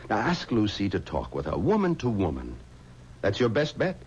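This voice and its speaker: A middle-aged man, an assured, fatherly and somewhat pompous boss in a 1970s radio mystery. His delivery is very animated, heard on a dull old recording with faint noise. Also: fairly low pitch, normal speed. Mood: paternal. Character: confident, paternal funeral home boss, brisk and dismissive. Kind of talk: 1970s radio drama acting.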